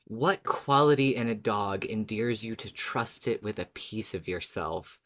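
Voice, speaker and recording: A woman's voice: rumbling low, a little slow. A woman co-hosting a podcast with casual, chatty banter. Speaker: podcast co-host.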